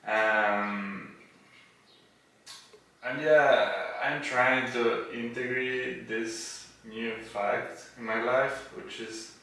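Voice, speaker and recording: A man's voice: mid-range voice, steady tone, extremely slow, sharp tone. A man from Buenos Aires with an Argentine accent chats casually in an interview, phrasing hesitantly in English as a native Spanish speaker. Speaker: podcast guest, tattoo artist. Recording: roomy echo, distant mic, faint noise.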